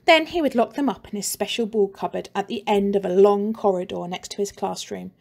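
A woman teacher reading a children's story aloud in a slow, expressive and playful way. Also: medium pitch, creaky.

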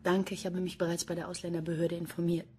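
An actress playing an insistent, sardonic police detective in a crime radio drama, questioning in a dry, pointed way. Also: female voice, on the low side, little pitch movement, fast, darkish tone, faint music behind, lightly acted. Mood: dry, ironic. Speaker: actor in a crime radio play. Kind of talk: German radio drama acting.

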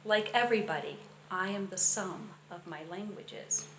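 A woman's voice: medium pitch, fairly bright tone. Casting: middle-aged woman, friendly lecturer at a podium mic, roomy hall, faint hiss. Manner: friendly, measured speaking with fillers. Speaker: education researcher and presenter. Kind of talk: public lecture. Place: wood-panelled lecture hall. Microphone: podium microphone.

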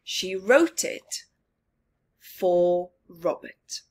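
Expressive, husky woman storyteller. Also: on the low side, very slow. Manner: expressive, emphatic. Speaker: storyteller for children. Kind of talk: children's read-aloud with commentary. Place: small living room, indoors.

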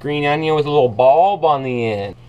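A man's voice: on the high side, brisk, dull audio, a little hiss or hum. A young man in his twenties, brash and boastful, hosting a comedic cooking show from a small kitchen. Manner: brash, boastful, loud whoops.

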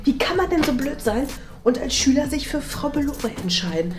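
A woman's voice: high voice, sing-song, moderate pace, over music. A woman playing a flustered, indignant older headmistress in a comedy sketch, dramatic and stammering. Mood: despairing. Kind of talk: improvised comedy sketch acting. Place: indoor corridor and meeting room.

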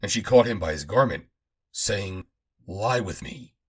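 A man reading the Bible aloud as scripted narration, in a measured, declamatory manner, phrase by phrase. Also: on the low side, expressive, breathy edge. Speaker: Bible reader.

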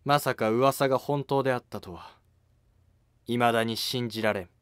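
A man playing a cautious, troubled emperor with formal, measured speech in a historical animated drama. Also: medium pitch, faint music behind, natural voice. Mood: grave.

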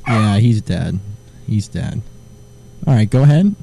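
A man making a prank phone call, deadpan and provocative, drawing the agent along. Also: lowish voice, measured pace, creaky, very noisy. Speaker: prank caller.

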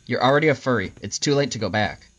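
A man hosting a scripted question-and-answer show, narrating answers in a dry, deadpan manner. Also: mid-range voice, quick pace, dull audio. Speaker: host answering viewer questions. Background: music under the voice.

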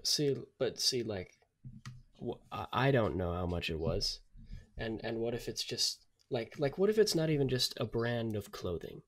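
A man's voice: medium pitch, lively intonation, moderate pace. A man co-hosting a podcast in casual comic chat, talking informally and with emphasis. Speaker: podcast co-host.

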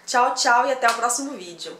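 A woman's voice: a little high, lively intonation, fairly quick, background noise. A woman in her thirties with a Brazilian Portuguese accent, chatting to viewers in a casual home vlog while she cleans her kitchen, recorded on a handheld camera. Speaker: vlogger. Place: home kitchen, indoors, medium-sized. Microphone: handheld camera, moved around the room.

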